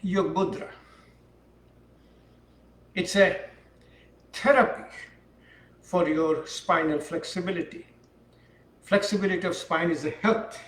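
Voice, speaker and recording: A man teaching a yoga class, speaking calmly and unhurriedly while he demonstrates the poses. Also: high-pitched. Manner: calm, unhurried instruction while holding poses. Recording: echoey room, voice from far off.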